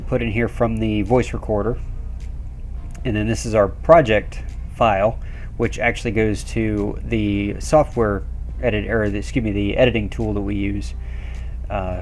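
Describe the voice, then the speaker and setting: Male, middle-aged, slightly deep voice, informal tutorial presenter, explanatory and conversational. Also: darkish tone. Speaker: presenter of a how-to video for a motorsports team.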